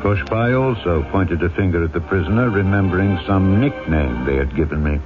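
A man plays a noble, resolute ex-convict recounting his life in a radio drama. The voice is low, solemn and earnest. It comes through a tinny, phone-like vintage recording with music. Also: natural voice. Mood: solemn, reflective. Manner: solemn, earnest, measured storytelling. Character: noble, resolute male ex-convict mayor, gentle protector. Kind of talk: old-time radio drama narration and acting.

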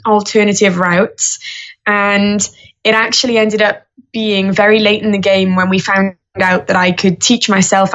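A young woman speaks as a podcast guest, recounting her experiences earnestly and at a steady pace. Her voice sits at an ordinary middle pitch for a woman. The recording is dull and muffled. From Wales. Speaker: guest singer-songwriter. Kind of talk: casual podcast interview. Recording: muffled lo-fi audio.

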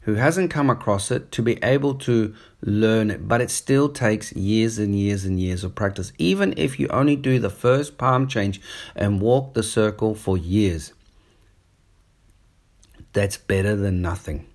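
A man's voice: lowish voice, a little slow, darkish tone. A man with a New Zealand accent who talks in a casual, rambling monologue and often drifts off topic. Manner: rambling, digressive, conversational. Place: on holiday up the coast in Australia.

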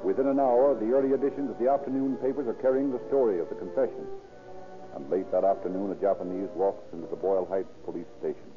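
A man's voice: on the low side, fairly even intonation, fast, over music. American man announcing on muffled old radio. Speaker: announcer and narrator. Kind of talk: 1930s radio narration and sponsor announcing. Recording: muffled 1930s radio, faint noise.

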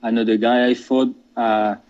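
A man answers in loose, halting bursts, stumbling over words and dropping casual slang. His voice sounds dark and mellow. The line comes through dull and lo-fi, as if over a remote call. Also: medium pitch, level tone. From France. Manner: halting, many restarts and fillers, casual slang. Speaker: MMA fighter.

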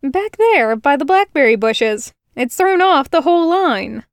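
Woman reader doing a bold young village girl, high and bright, smug and teasing; storybook read. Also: sing-song, unhurried, no echo, clean studio sound, full character voice.

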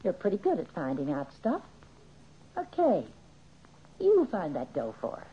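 A woman's voice: lowish voice, huge pitch swings, a little slow, slightly rough, exaggerated voice. An actress playing a ruthless, sneering female gang boss with tough, slangy American talk, in old-time radio crime drama style. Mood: sly threat. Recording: muffled old radio recording.

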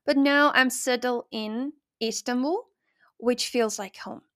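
Slow, clear woman teaching English. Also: fairly high pitch, fairly bright tone. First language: Spanish. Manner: careful, clear teaching delivery with example sentences. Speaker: podcast host and English teacher. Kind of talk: scripted English lesson podcast.

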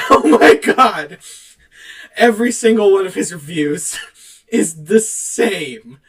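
A man co-hosting a podcast in casual chat, animated and quick to laugh. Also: high-pitched. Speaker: podcast co-host. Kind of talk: casual chat, reading out online reviews.

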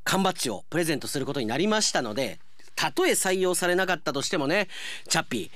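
A man hosting a radio show as announcer, talking conversationally and reading out listener mail in an animated way. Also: on the high side, fast, no room sound.

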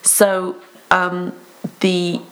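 A middle-aged woman from Wales with an English accent, talking casually as an interviewee, chatty and quick to jump in with her own story. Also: on the low side, completely flat delivery, a bit bright. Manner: chatty, interjecting, speeding up when telling a story. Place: building site overlooking a town.

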